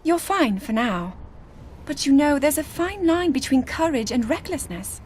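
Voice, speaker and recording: A young woman playing an earnest, scholarly princess in a video game cutscene, speaking with precise, formal and expressive diction. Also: sits high, unhurried, a little hiss or hum. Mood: grave concern. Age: young adult.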